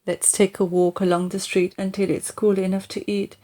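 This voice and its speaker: A woman's voice: medium pitch, flat delivery, moderate pace, warm tone, natural voice. A woman voicing a hearty, fussy, old-fashioned father from a comic storybook, with a pompous, exclamatory manner.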